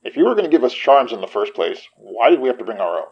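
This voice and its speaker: An actor playing a caring, skeptical young man who gently teases and probes his friend, in a naturalistic audio drama. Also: mid-range voice, very animated, fast talker, slightly rough, lo-fi sound. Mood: teasing.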